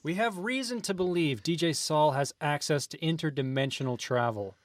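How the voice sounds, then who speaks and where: An impatient, exasperated government agent, as an actor plays him, barking short questions in a comedy radio play. His voice sits a bit high and sounds dry and close. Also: moderate pace, no room sound, lightly acted. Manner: exasperated, brusque, repeats himself.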